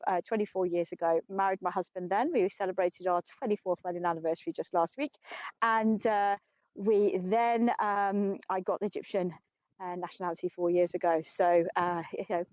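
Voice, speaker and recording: A woman's voice: mid-range voice, expressive, a little fast, dry recording. Chatty English woman guide, dull phone sound. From England. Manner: chatty, self-mocking, laughs often. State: tired. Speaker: tour guide. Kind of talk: live-streamed walking tour. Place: outdoors on an open plateau.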